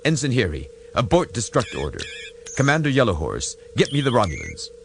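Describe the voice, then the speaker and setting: A grim starship officer under strain, performed by a male reader. He is terse and formal, resolute, with raised, moving pitch over a faintly noisy background in a science fiction audiobook. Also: huge pitch swings, brisk, vocal fry. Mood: urgent, relieved. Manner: terse, formal military reporting.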